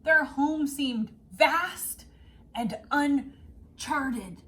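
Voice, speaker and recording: A woman reading a children's picture book aloud expressively, with dramatic, deliberate phrasing and emphasised words. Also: high voice, bright, forceful tone. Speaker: read-aloud storyteller.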